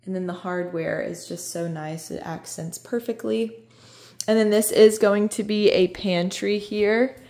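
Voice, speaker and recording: A woman real estate agent walks through a home, narrating each room in a bright, chatty, enthusiastic way. She speaks at a middling pitch and sounds like she is holding the phone she films with. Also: fairly even intonation, unhurried. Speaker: real estate agent giving a house tour. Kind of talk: walking house tour narration. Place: inside and around a house, voice-over while filming.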